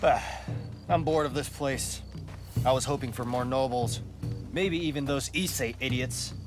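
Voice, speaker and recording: A man's voice: medium pitch, measured pace, music in the background, natural voice. An actor playing a cocky, boastful young man who loves a fight and can't read. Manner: boastful, easygoing.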